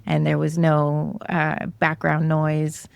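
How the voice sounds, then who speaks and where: A woman hosts a casual interview podcast. Her voice sits quite low with plenty of fry, and it is recorded close and dry. She talks in a conversational way. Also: completely flat delivery, takes it slowly, dry recording. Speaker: podcast host interviewing a guest.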